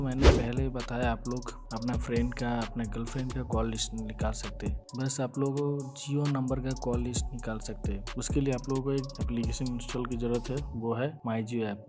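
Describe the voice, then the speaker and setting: A man giving casual, scripted tutorial narration, explaining step by step in a repetitive, instructional way. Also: medium pitch. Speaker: tech tutorial YouTuber. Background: background music.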